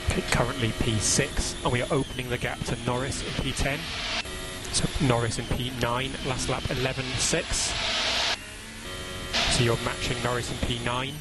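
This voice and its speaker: A man's voice: medium pitch, little pitch movement, moderate pace, poor audio. Male race engineer, matter-of-fact and terse, radio sound with prominent music underneath. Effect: team radio. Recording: team radio with loud background sound.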